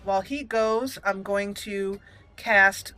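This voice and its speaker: Bright-voiced woman narrating her gameplay. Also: medium pitch, takes it slowly, smooth. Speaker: video game let's play host. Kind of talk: casual gameplay commentary.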